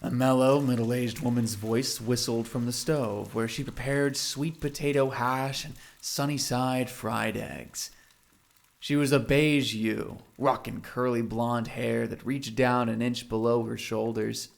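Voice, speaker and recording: A man's voice: medium pitch, fairly even intonation, unhurried, creaky. A man narrating a scripted audio drama in a literary storytelling style.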